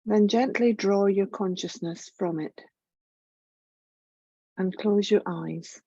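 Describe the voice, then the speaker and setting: A woman guiding a yoga relaxation meditation in a gentle, soothing, measured way, leaving long pauses. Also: mid-range voice, husky, dry recording, dull audio. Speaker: yoga nidra meditation guide.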